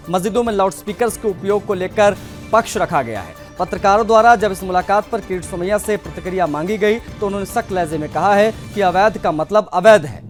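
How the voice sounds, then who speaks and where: A man anchoring a news broadcast, presenting reports and putting questions to a correspondent. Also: high voice.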